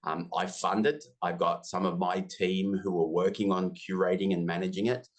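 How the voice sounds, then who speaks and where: Australian man, 50s, deep voice, chatty interview guest who pokes fun at himself. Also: little pitch movement. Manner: chatty, self-deprecating, talks in quick asides. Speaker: interview guest, business software community founder. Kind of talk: casual interview chat.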